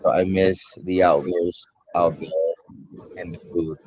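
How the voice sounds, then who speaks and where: A man from Suriname, Dutch his first language, talks slowly with a dark, mellow, fairly low voice. He pauses often and fills the gaps with ums. The sound is close and dry. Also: fairly even intonation, breathy edge, no room sound, rough recording. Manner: unhurried, many ums and pauses. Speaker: interviewee. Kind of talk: casual interview answers.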